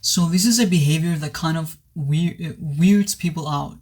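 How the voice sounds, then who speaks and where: Male reviewer, pitch a bit high, voice worn from sickness, sincere and stop-start. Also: measured pace, darkish tone, slightly rough. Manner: hesitant, many restarts, earnest. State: voice worn from recent illness. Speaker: movie reviewer. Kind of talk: casual spoken film review.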